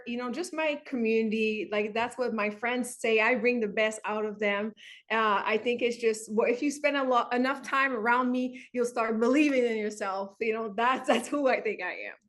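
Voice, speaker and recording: A woman's voice: fairly high pitch, normal speed, fairly bright tone. A woman from Montreal with a Quebec French accent, French her first language, a bubbly wellness coach answering interview questions. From Montreal, Canada. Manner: bubbly, laughs often.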